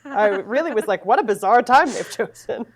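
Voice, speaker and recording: A woman's voice: fairly high pitch, lively intonation, moderate pace, husky. A woman from Massachusetts, a podcast guest chatting casually with a self-deprecating, jokey manner. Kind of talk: casual chat.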